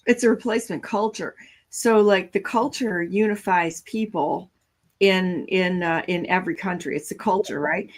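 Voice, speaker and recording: A woman's voice: lowish voice, normal speed. An American woman talk show host giving conversational political commentary in an opinionated, emphatic way. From United States.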